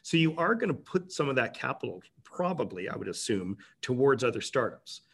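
A middle-aged man speaks as an interview guest in a relaxed, rambling way. He restarts his sentences often and fills the gaps with "you know". His voice sits at an ordinary mid pitch. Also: brisk, vocal fry, slightly rough. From Canada. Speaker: tech company president, guest. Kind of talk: casual interview talk.

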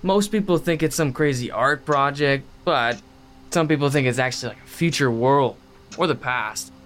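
A man's voice: medium pitch, normal speed, a bit bright, natural voice. A man playing an enthusiastic stoner roommate in a horror audio drama, talking in slangy, laid-back rambles about creepy internet lore. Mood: eager explaining.

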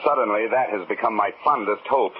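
A man's voice: on the low side, a little fast, natural voice. An American man playing a tough, sardonic, wisecracking insurance investigator in a 1950s radio drama. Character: hard-boiled, wisecracking male insurance investigator. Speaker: lead actor and narrator in a radio detective drama. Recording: muffled, noisy 1950s radio recording.

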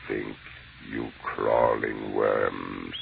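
An actor playing an ancient, hungry alien monster in 1930s radio horror style, speaking in slow, contemptuous, menacing pronouncements on an old noisy recording. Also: male voice, rumbling low, fairly even intonation, creaky, narrow, tinny audio, exaggerated voice. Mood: cold, superior.

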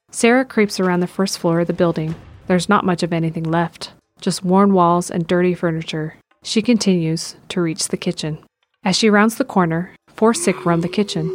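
A woman reading scripted narration for an audio drama as its narrator. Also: fairly low pitch. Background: music.

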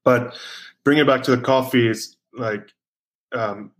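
A man's voice: mid-range voice, steady tone, unhurried. A man in his thirties from South Africa, a rugby player guest talking casually in an interview, rambling with many fillers.

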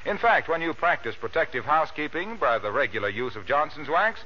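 A man's voice: fairly high pitch, expressive, fairly quick. A man reading a scripted 1940s radio advertisement as announcer, with a polished, persuasive sales delivery. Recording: muffled, faintly noisy old radio recording.

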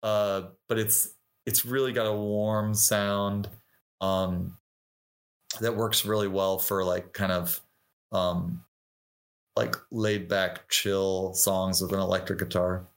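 Middle aged guy, lowish voice, musician chatting casually in an interview, talks a lot and acts out little quotes. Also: level tone, slow pace. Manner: informal and talkative, voicing imagined quotes. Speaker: interview guest, touring musician and singer. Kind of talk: casual conversational interview, explaining craft.

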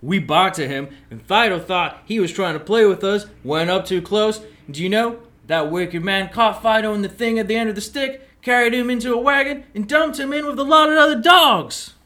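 A woman's voice: mid-range voice, moderate pace, few pauses, fairly bright tone, voice pushed up, natural voice. A woman voicing a big friendly male dog, earnest and concerned, in a children's storybook reading. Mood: concerned, agitated.

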